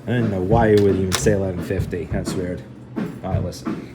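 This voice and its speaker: A man's voice: low voice, steady tone, fairly quick, loud music behind the voice. A man vlogging casually, rambling and joking as he talks half to himself and half to his viewers. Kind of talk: casual rambling vlog to camera.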